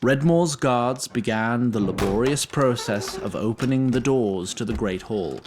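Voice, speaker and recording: A man's voice: mid-range voice, measured pace. A man narrating a scripted fantasy story as a dry, literary storyteller.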